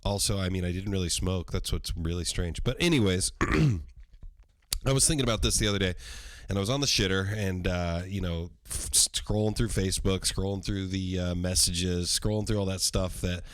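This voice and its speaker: Hoarse low-voiced man, rambling casually. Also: normal speed, fairly bright tone, no room sound. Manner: rambling and profane, with many ums. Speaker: podcast host. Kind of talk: casual solo podcast livestream.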